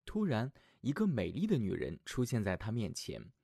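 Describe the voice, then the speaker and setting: A man narrating a scripted fairy tale for small children as their storyteller, in an expressive, affectionate manner. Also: fairly low pitch, moderate pace, gravelly, breathy edge, no echo, studio quality.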